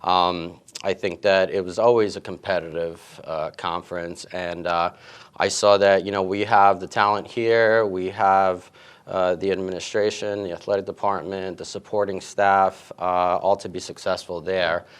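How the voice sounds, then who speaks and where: Casting note: middle-aged male coach, deep clear voice, easygoing answers full of ums. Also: moderate pace. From grew up in Italy and Queens, New York. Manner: relaxed, with many fillers and restarts. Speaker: head tennis coach. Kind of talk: casual interview answers.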